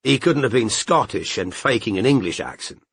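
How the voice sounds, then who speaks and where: Man voicing a terse, husky detective. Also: medium pitch, animated, normal speed, no room sound. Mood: questioning. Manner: terse, probing questions. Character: gruff, terse male private investigator. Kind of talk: audiobook character voice.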